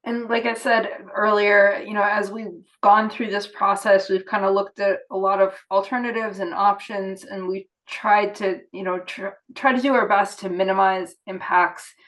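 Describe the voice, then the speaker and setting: A woman with a cold and a worn, hoarse voice, giving steady, measured remarks at a public meeting. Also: mid-range voice, rough recording. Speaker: project representative at a public meeting.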